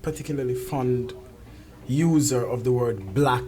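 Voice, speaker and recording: A woman's voice: rumbling low, a little slow, mellow. Emphatic woman in conversation. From Jamaica. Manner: emphatic, outspoken, frank with swearing. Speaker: talk show host. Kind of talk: casual opinionated conversation.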